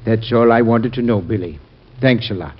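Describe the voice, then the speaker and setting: An actor playing an ageing, proud and wounded secretary in a 1940s radio drama. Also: male voice, fairly low pitch, moderate pace, lightly acted. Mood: hurt, indignant. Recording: muffled old radio recording.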